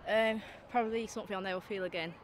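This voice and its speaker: A woman's voice: mid-range voice, fairly even intonation, fast talker. A young woman, a football player, giving post-match interview answers outdoors. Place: open football stadium pitchside, outdoors. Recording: clear outdoor background noise.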